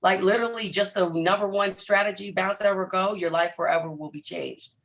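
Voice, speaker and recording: Hoarse, hyped-up man with a bright voice. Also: high voice, brisk. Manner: energetic, hype-filled, chatty with viewers. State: hoarse, lost his voice. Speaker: trading coach on a live stream. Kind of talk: hyped live trading stream.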